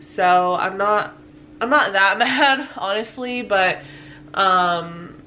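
A woman's voice: medium pitch, slow, bright, forceful tone, slight background noise. A woman in her twenties chatting casually to camera in a home vlog, a little stuffy-sounding, with lots of fillers and little laughs. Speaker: lifestyle vlogger. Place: living room at home.

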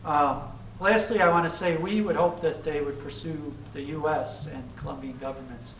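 A man's voice: on the high side, fairly even intonation, normal speed, a bit bright, distant mic, a little hiss or hum. Chicago-accented man lecturing in a roomy hall. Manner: conversational, many "you know" fillers. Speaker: guest lecturer. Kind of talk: informal lecture and Q&A. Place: large lecture room, indoors. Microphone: far camera, podium mic.

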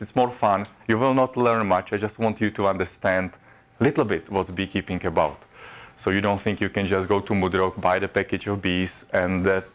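Czech-accented man giving a chatty workshop. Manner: chatty, self-mocking, rises in pitch when animated. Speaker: workshop presenter. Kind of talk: informal workshop talk. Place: classroom in front of a projection screen. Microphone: far camera.

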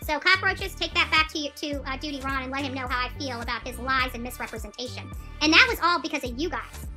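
A woman in her forties scolds and challenges as she addresses her livestream audience. Her voice is high and very bright, forceful and quick, with music playing behind her. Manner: confrontational, scolding. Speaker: livestream channel host. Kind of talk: indignant livestream rant to viewers. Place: small room indoors, seated on a couch.

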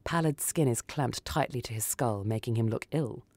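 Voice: mid-range voice, normal speed, darkish tone, dry recording. A narrator reading a first-person short story dramatically for radio. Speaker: story reader.

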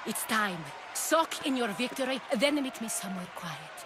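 A woman's voice: fairly high pitch, animated, normal speed, noisy background, close to an everyday voice. An actress voicing a commanding, vengeful woman leader in a video game, theatrical and imperious. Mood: confident, scheming. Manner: imperious, declamatory. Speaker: rebel leader in an action game. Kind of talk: dramatic video game acting.

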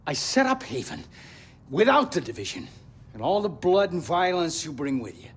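A man's voice: on the high side, very animated, moderate pace, slightly rough, exaggerated voice. A man playing a stern, accusing settlement leader full of distrust in a video game. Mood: bitter, warning. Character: bitter, distrustful male leader of a refugee settlement. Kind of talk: dramatic game dialogue.

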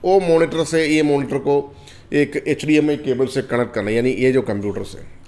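A man demonstrating software step by step in a casual tutorial, often calling viewers friends and checking in with them as he goes. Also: medium pitch, normal speed. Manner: repetitive, addresses viewers as friends, many fillers. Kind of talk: screen-recorded software tutorial.

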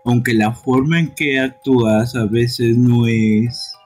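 A man's voice: medium pitch, steady tone, takes it slowly, a little mellow, soft background music. A man voicing a smug, bullying poison-gas creature villain in an amateur game dub, with taunting delivery. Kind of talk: amateur live game dubbing.

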